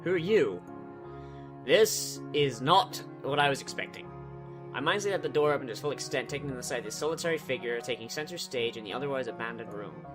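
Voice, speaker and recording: A man's voice: mid-range voice, expressive, a little fast, music in the background. A man reading a visual novel aloud, cutting in with sarcastic, sweary jokes. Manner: sarcastic, swears freely, breaks off to joke. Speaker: let's player.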